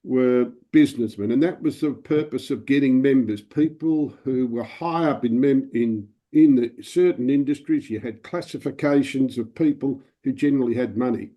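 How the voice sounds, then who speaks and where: A man's voice: mid-range voice, warm tone. Older man on an echoey call. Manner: earnest, measured questioning. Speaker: club member asking a question. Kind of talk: video call question. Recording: dull line with an echo of the call.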